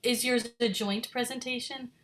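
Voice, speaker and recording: A woman's voice: fairly high pitch, steady tone, normal speed, a little hiss or hum. Woman moderator on a video call. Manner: helpful, brief technical prompts. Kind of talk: casual meeting coordination.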